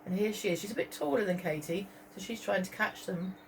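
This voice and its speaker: A woman reading a story aloud to young children as a teacher, expressively, pausing to point out pictures and ask questions. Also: mid-range voice, vocal fry, a little hiss or hum, poor audio. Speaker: primary school teacher.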